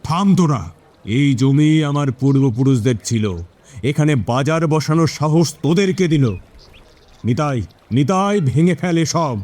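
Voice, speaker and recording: A man's voice: a little high, a little slow, darkish tone, natural voice. A man playing a ruthless village landlord in a cartoon, giving threatening, commanding orders and repeating them. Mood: furious.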